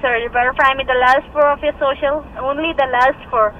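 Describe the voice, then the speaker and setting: A woman's voice: sits high, fairly even intonation, a little fast, a little hiss or hum. A woman on a phone call, repeating scripted demands in an insistent tone. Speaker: scam caller. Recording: muffled phone audio over car speaker.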